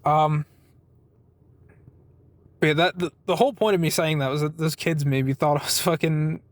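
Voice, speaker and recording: A man in his twenties with a noticeably high voice talks casually to his viewers. The sound is dry, with little room in it. He rambles through personal stories in an informal way and acts out bits of conversation as he goes. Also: fairly even intonation, normal speed, no echo. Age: 20s. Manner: acts out quoted exchanges. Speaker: vlogger giving a weekly update.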